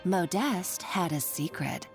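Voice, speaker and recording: A woman's voice: on the low side, expressive, takes it slowly, creaky, full character voice. A woman playing a gloating, scornful villainess in a video game, with a mocking, theatrical delivery. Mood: scornful, boastful. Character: gloating, scornful female villain holding a hostage. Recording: game dialogue over music.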